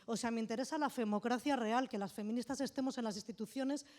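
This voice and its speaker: A woman's voice: on the high side, fast talker, darkish tone. A woman from Madrid giving earnest, emphatic political remarks from the floor of an assembly in a conference hall. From Madrid, Spain. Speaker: assembly participant.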